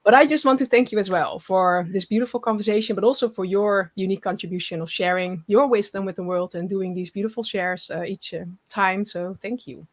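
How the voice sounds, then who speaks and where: A woman's voice: medium pitch, no echo. Lady guest, earnest, keeps restarting, dry no-room sound. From Netherlands. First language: Dutch. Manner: earnest, halting with frequent restarts. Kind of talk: casual interview chat.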